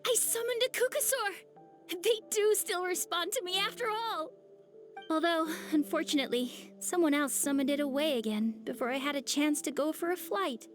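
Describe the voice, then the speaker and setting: A woman voicing an earnest, excitable young girl training to fly, over game music. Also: very high voice, normal speed. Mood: excited.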